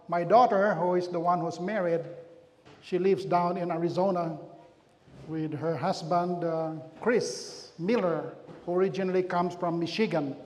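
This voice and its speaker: A man's voice: sits high, darkish tone. A middle-aged man with a Filipino accent, a pastor chatting through a community health talk with loose, self-deprecating asides. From Philippines. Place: church hall. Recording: long hall reverb.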